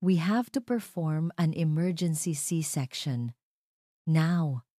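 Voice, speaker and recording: A woman voicing a serious, measured male doctor giving urgent news in an audio drama. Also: sits low, warm tone, dry recording, clean studio sound. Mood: grave, urgent.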